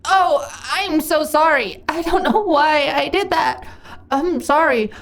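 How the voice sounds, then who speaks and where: Woman acting a slow, breathy slime monster girl. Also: high voice. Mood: flustered, apologetic. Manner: breathy, hesitant stammering, drifting into whispers. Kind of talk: intimate roleplay audio acting.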